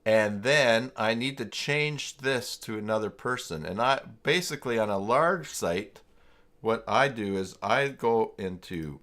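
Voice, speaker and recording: A man hosting a casual, unscripted livestream tutorial, talking haltingly as he thinks aloud through each step. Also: fairly low pitch, a bit bright. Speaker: livestream host and web tutorial presenter.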